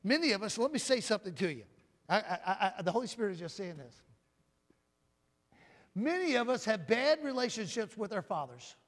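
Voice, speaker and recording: A man preaching a sermon with a loud voice, often calling out "Amen?" and swinging from booming to soft. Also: big pitch swings, moderate pace, long pauses. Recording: long hall reverb.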